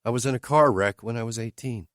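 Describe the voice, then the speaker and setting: A man's voice: mid-range voice, fast talker, a bit bright, no room sound, clean studio sound, voice pushed up, lightly acted. A man voicing a blunt, direct young woman in her late twenties, speaking in a raised voice for her. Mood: questioning. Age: late 20s. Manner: blunt, straight to the point.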